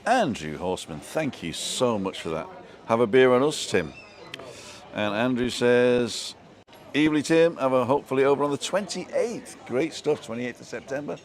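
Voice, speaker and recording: A man from Manchester with a soft local English accent, hosting a casual live stream and chatting with viewers as he reads their comments. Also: mid-range voice, expressive, normal speed. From Manchester, England.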